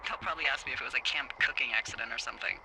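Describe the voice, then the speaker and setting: A woman's voice: very deep voice, racing, creaky, background noise. A woman with an American accent playing a wry, flirtatious fire lookout in a video game, talking candidly over a two-way radio. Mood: relaxed, teasing. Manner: wry, teasing, conversational.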